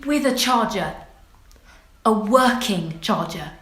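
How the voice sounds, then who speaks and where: A woman's voice: medium pitch, expressive, slow, fairly bright tone. A young woman playing a skeptical, exasperated youngster in a radio play, probing with clipped, incredulous questions. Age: young adult. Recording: echoey room, faint music.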